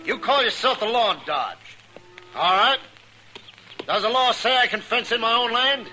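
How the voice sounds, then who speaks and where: An actor playing a greedy, demanding rancher with a smug manner in a 1950s radio drama. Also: male voice, very high voice, animated, normal speed, bright, forceful tone, soft background music, full character voice, low-quality recording.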